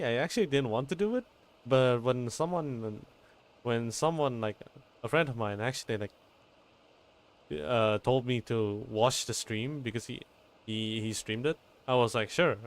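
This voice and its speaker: A man giving casual live commentary on a game stream, halting and swearing freely. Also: medium pitch, expressive.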